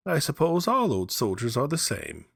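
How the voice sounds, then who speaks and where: A man's voice: on the high side, wildly swooping pitch, normal speed, creaky, fairly bright tone, husky, no room sound, studio quality, pitched above the performer's own voice, full character voice. A man voicing an anxious old wife and mother in a dramatic storybook reading. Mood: scoffing.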